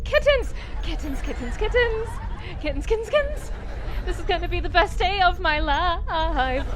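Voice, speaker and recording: An actress playing a recklessly optimistic young pilot, bubbly and gushing with enthusiasm. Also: female voice, extremely high pitch, expressive, moderate pace, a crowd in the background, background noise. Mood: ecstatic.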